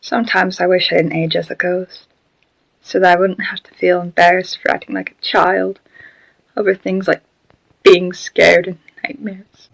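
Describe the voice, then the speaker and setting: A woman's voice: on the low side, little pitch movement, normal speed, vocal fry, no room sound, low-quality recording. A woman playing a shy, earnest, childlike ghost living in a puppet, in an amateur audio drama. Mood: embarrassed, downcast.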